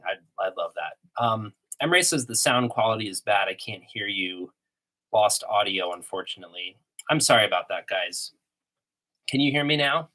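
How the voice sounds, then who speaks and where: Sniffly man with a low voice. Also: rough recording. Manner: relaxed, rambling, many "um"s and restarts. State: has a cold, a little sniffly. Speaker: livestream host answering chat questions. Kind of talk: casual livestream Q&A. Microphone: iMac desktop microphone. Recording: home desktop computer recording. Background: guitar playing between answers.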